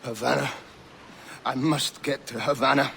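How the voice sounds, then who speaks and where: An actor playing a desperate, haughty, impatient man in a video game cutscene, with a gravelly, strained voice and a curt, insistent delivery. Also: medium pitch, fairly even intonation, normal speed, bright, forceful tone, a little hiss or hum. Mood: urgent, impatient.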